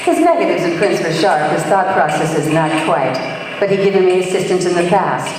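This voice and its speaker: A woman playing a brisk, wisecracking female private detective in an old-time radio play. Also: on the low side, lively intonation, heavy background noise, close to an everyday voice. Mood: wry narrating. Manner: wisecracking, bossy. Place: live stage performance in a hall. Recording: very echoey hall, distant microphone.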